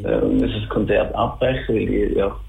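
A low-pitched middle-aged man with a Schaffhausen Swiss German accent talks in a casual interview. His voice has a creaky, gravelly grain and comes through thin, like a phone line. He feels his way through answers, haltingly but with enthusiasm. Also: steady tone. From Schaffhausen, Switzerland. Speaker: interviewed band musician.

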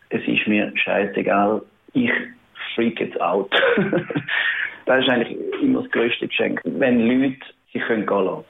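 A man's voice: mid-range voice, gravelly. A man from Schaffhausen with a Swiss German accent, a band musician answering interview questions in a casual, hesitant way with many fillers and restarts. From Switzerland (Schaffhausen). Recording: tinny phone-like line.

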